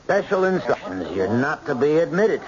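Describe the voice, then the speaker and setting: An actor playing a formal, officious courthouse guard in an old-time radio drama. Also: male voice, a little high, very animated, normal speed, a crowd in the background. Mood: officious, unbudging. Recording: thin, phone-like old radio recording.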